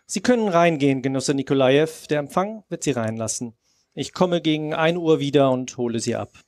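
A man's voice: on the high side, expressive, brisk, darkish tone, no echo, lightly acted. Courteous male Soviet driver played by a man. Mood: polite. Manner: courteous, matter-of-fact. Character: polite, dutiful male Soviet embassy driver. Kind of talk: live radio play. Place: outdoor festival stage under a tent.

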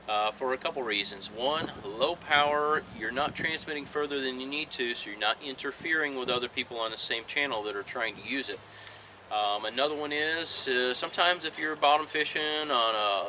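Very bright, forceful-voiced man, matter-of-fact. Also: medium pitch, rough recording. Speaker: marine electronics installer giving tips. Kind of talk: instructional talk to camera. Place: outdoors in a fenced backyard. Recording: outdoor ambience, faint background noise.

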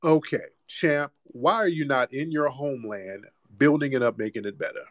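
A man's voice: mid-range voice, normal speed, no room sound. A man hosting a heated call-in debate, combative, repeating himself and talking over the caller. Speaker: host.

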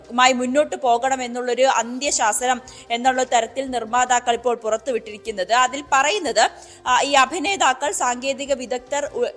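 A young woman with a Kerala accent giving a live news report, hesitating and repeating phrases. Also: on the high side. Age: young adult. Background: news music bed.

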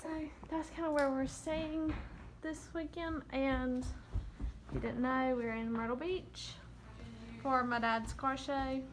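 A woman's voice: high-pitched, steady tone, slow, a little hiss or hum. A young woman, giving a casual, chatty vlog tour on a handheld phone. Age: young adult. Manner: chatty, upbeat, filler-heavy. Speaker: vlogger. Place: rental condo indoors. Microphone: handheld phone at arm's length.

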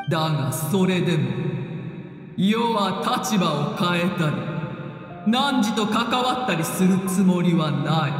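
An actor playing an ancient, proud and bitter male dragon in a video game, speaking in an archaic, grave and haughty way. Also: sits high. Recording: heavy echo, long cavernous reverb.

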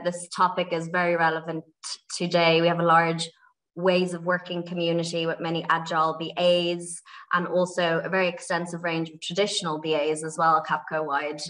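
A woman's voice: fairly low pitch, a bit bright, recorded from a distance. A young woman hosting a business webinar and handing over to the next speaker. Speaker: webinar host. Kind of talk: webinar introduction. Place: home office, video call.

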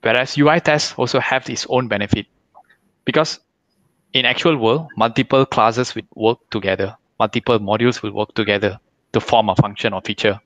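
A man with a slightly low voice explains his view as a guest speaker in an online question session. The sound is dry, from a headset on a video call. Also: fairly even intonation, fairly quick, a bit bright, no echo, rough recording. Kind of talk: explanatory question-and-answer talk. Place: indoor room, video call.

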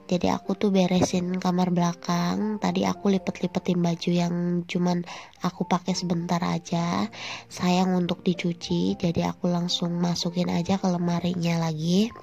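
A woman's voice: fairly low pitch, mellow. A young woman with a stuffy, nasal cold voice, narrating her vlog in a chatty way and talking straight to viewers. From Indonesia. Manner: chatty, friendly, addresses viewers directly. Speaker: vlogger and housewife. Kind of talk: casual voice-over vlog narration.

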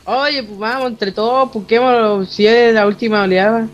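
A man's voice: high-pitched, fairly even intonation, normal speed, highs cut off. A playful Chilean male chatting in slang with teammates during an online game. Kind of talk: casual chat while playing an online game. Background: video game gunfire.

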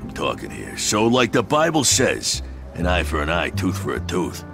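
An actor playing a blunt, bossy, vengeful small-time gangster with an American accent and a gravelly voice. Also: male voice, low voice, huge pitch swings, normal speed, over music, exaggerated voice. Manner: blunt, commanding. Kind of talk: video game voice acting.

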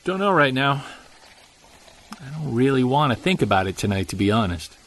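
An actor playing a tense, commanding Bigfoot hunter in an audio drama, terse and authoritative, speaking with hushed urgency. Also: male voice, mid-range voice, very animated, normal speed. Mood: guarded.

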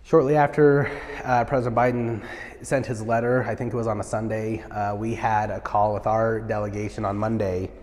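A man's voice: on the low side, flat delivery, moderate pace, darkish tone, big-room sound. A man in his thirties, a party official, answering interview questions earnestly with many "uh" fillers, on a lapel mic in a marble stairwell. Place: large marble stairwell indoors.

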